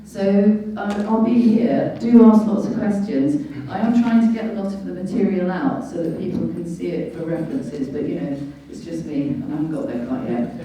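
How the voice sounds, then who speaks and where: Low-voiced British woman lecturing in echoey hall. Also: steady tone, moderate pace, few pauses, dark tone, a little hiss or hum. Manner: emphatic, didactic, with rhetorical questions. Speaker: guest speaker, lawyer. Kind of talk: informal public lecture on law and rights. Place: large echoey indoor venue at a public meeting. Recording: dull, very echoey hall, distant mic.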